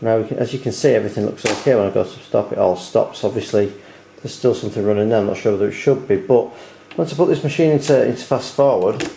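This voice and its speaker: Mellow British man in an echoey room. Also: lowish voice, fast, vocal fry, slight background noise. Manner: hesitant, rambling, often repeating words. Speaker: video host showing a repair. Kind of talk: unscripted hands-on demonstration, casual chat. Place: indoors at home. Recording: roomy, echoing indoor home recording.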